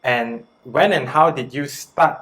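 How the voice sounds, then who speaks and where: A man hosts an interview in a medium-pitched voice. He asks his questions politely and at a measured pace, often starting with a hesitant "um". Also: a bit bright. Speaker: interviewer, host.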